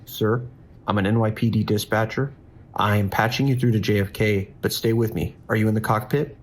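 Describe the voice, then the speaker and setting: An actor playing a steady, weary police dispatcher in an audio drama, calm, measured and instructive. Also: male voice, lowish voice, close to an everyday voice. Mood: firm, steadying. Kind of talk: audio drama acting with monologue.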